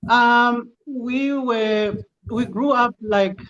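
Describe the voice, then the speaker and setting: A middle-aged woman with a Zimbabwean accent, a guest answering interview questions in a measured way with many ums. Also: fairly high pitch, fairly bright tone, muffled recording. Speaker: guest, project founder.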